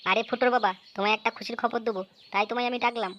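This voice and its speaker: Woman playing a high-voiced, fast cartoon mother. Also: steady tone, fairly bright tone, close to an everyday voice. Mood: delighted. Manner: chatty, inquisitive, exclaiming. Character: fussing, proud village mother and housewife. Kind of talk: comic cartoon dialogue. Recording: dull lo-fi audio with some noise.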